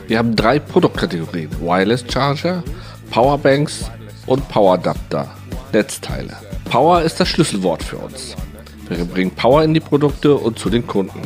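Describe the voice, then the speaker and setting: Low-pitched German voice-over man over music. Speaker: voice-over interpreter. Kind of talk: scripted dubbed translation of an interview.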